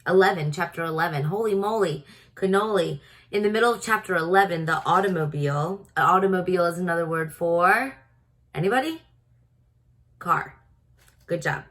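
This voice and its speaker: A woman with a slightly low voice narrates a children's book. She reads in a teacherly way, as if guiding young listeners through the story. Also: moderate pace, a bit bright. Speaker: storybook reader. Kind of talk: read-aloud narration for children.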